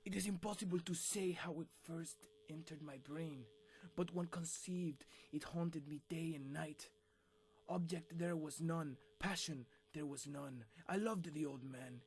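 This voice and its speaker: A man's voice: fairly high pitch, a little slow, a little hiss or hum. A man playing a nervous, mad murderer who insists he is sane, in a theatrical, intense gothic horror monologue. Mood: brooding. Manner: theatrical, intense, shifting between whispers and outbursts.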